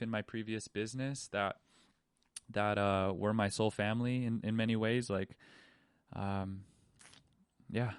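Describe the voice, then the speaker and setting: A man's voice: lowish voice, clean tone, dry recording, clean studio sound. A man, hosting a podcast and talking candidly in a rambling monologue full of restarts. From Hawaii. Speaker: podcast host. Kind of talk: reflective personal monologue.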